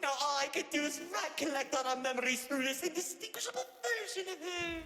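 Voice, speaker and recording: Shrill, flamboyant villain narrator. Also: male voice, a little fast. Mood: lovelorn, melodramatic. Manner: melodramatic, pompous, sarcastic. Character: flamboyant, melodramatic, mocking villainous narrator, theatrical and self-absorbed. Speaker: narrator villain of a video game. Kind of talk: theatrical game voice acting with comic rants. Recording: echoey hall reverb with faint music.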